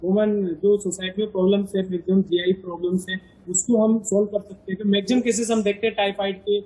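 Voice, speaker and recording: A doctor on a live health show, a man with a husky, fairly high voice. He explains symptoms at length, stopping often to restart a word or fill a pause. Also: level tone, warm tone, poor audio. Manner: hesitant, many fillers and restarts. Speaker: doctor guest.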